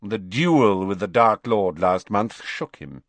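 Man playing a cold calculating wizard, slow and deliberate, dry close sound. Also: fairly low pitch, lively intonation, no room sound. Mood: smug. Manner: measured, cool, deliberate. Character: cold, calculating male wizard, measured and guarded. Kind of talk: audiobook character voice.

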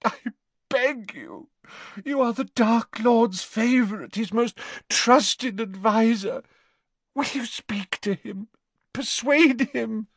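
A man's voice: takes it slowly, fairly bright tone, no echo, heavily acted. A man voicing a desperate, tearful aristocratic mother begging for her son in an audiobook reading. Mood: pleading. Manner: tearful, begging, high strained pleading.

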